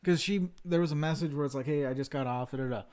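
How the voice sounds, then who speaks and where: A man's voice: fairly high pitch, quick pace, a little mellow, clean tone. A man co-hosting a casual livestream chat, telling a long story in a rambling, self-deprecating way. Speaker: stream co-host. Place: home livestream setup.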